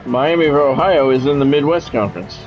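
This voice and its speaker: A man's voice: mid-range voice, fairly quick, dull audio, soft background music. A man livestreaming a video game, giving casual commentary and chatting with viewers in a repetitive, explanatory way.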